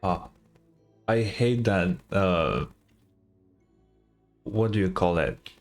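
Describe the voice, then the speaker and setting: A man with a fairly low voice reacts to a game for a stream. He is casual, wry and sweary, with faint game music behind him. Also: lively intonation, drawn-out words. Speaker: gaming streamer.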